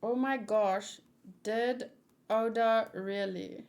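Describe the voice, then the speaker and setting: A woman hosting a livestream read-along, chatting casually in an excitable, playful, expressive way. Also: fairly high pitch, extremely slow. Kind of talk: casual livestream chat mixed with animated read-aloud.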